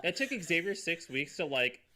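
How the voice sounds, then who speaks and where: A man's voice: on the high side, moderate pace, over a noisy crowd. A man giving incredulous, joking commentary in casual chat as a podcast host. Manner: incredulous, quipping.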